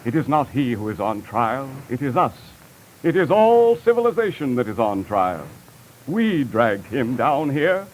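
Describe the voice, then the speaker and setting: An actor playing a bombastic defense lawyer with florid, theatrical pleading, in 1930s radio comedy style on an old recording. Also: male voice, medium pitch, big pitch swings, a little slow, a little hiss or hum, rough recording. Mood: mock tragic. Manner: florid, theatrical coaxing and oratory.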